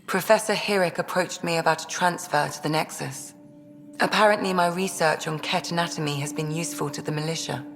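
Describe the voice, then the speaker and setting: An actress playing a no-nonsense but caring ship's doctor in a video game, with dry delivery. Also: female voice, deep voice, fairly even intonation, fairly quick, fairly bright tone, long reverb tail. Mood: dry, affectionate.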